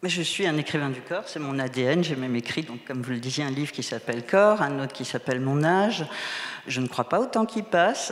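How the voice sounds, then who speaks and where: Woman's voice in a reverberant hall. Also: low-pitched. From Moselle, eastern Lorraine, France. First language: Moselle Franconian German dialect. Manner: animated and emphatic, repeating words, many restarts. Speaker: novelist guest on a panel. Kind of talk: round-table discussion at a book fair. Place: public event hall. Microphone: table microphone. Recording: echoey hall with long reverb.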